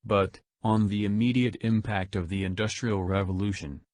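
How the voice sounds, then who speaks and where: A man with a fairly low voice reads a prepared passage aloud. It sounds dry and free of room echo, as a practice reading for an exam. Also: steady tone, moderate pace, a little mellow. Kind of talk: read-aloud scripted passage.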